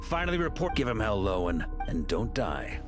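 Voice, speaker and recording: Game knight leader: commanding, very animated delivery, loud score underneath. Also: male voice, lowish voice, normal speed, music in the background. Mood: rallying. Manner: commanding, dramatic. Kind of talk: video game voice acting.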